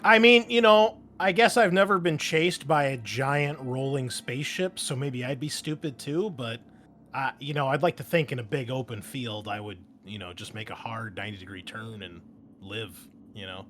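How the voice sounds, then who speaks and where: A man's voice: medium pitch, moderate pace, fairly bright tone. A man speaking as game master and host, chatting casually with enthusiasm and slang. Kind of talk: casual table chat and game recap. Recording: noticeable background noise.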